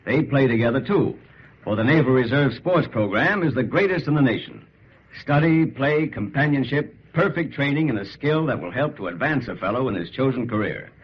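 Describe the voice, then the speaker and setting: An actor playing an enthusiastic sports reporter who narrates in an earnest, punchy old-time radio style with an American accent. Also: male voice, mid-range voice, expressive, brisk, husky. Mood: earnest pitching. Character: enthusiastic male sports reporter, the ballplayer's pal. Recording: muffled, hissy old radio recording.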